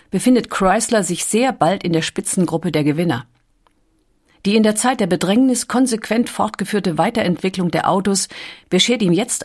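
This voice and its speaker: A woman reading a documentary script as narrator, with a calm, clear storytelling delivery. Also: medium pitch.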